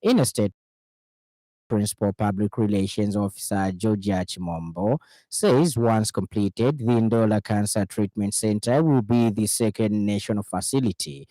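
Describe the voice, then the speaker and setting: A man reading a scripted radio news bulletin in a formal, measured manner as presenter. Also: fairly low pitch, warm tone, dry recording, low-quality recording.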